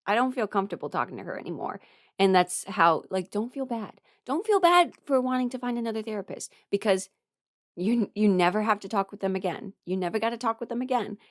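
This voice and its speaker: A woman talking casually and confidingly, giving personal advice in an informal, halting way and often correcting herself. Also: medium pitch, animated, no echo, studio quality. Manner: informal, halting and self-correcting, chuckles while talking, mimics others in dialogue. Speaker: host, voice performer giving a personal ramble.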